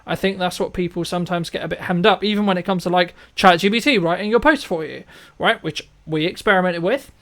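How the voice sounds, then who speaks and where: A high-voiced man hosts a conversational show. He argues his view emphatically, in casual speech, often repeating a phrase to press the point. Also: a little fast. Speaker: podcast host and filmmaker. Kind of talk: opinionated interview chat.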